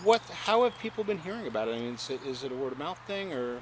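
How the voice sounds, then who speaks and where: A man's voice: fairly high pitch, rapid-fire, background noise. Chatty middle-aged male interviewer. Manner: chatty, animated, frequent mm-hmms, laughs. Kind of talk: casual interview.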